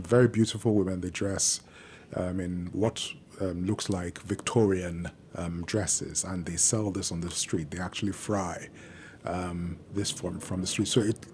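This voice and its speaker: A man from Nigeria, a native Yoruba speaker, talking slowly on a podcast and pausing often with ums. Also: deep voice, a little mellow, a little hiss or hum. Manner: slow and measured, many ums and pauses. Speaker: guest, architectural historian. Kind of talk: podcast conversation.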